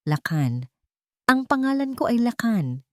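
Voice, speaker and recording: A woman voicing a grave, remorseful male billionaire in an audio drama, speaking in a halting, earnest way. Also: on the high side, sing-song, no echo, studio quality, voice pushed up. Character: remorseful, commanding billionaire father confessing and apologizing.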